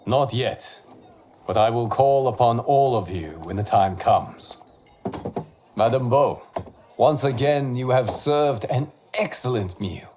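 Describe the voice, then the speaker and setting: An actor playing a wise, serene monk guardian who speaks in a formal, measured way. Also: male voice, mid-range voice, darkish tone, a little hiss or hum, lightly acted. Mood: solemn. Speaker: leader of the champions.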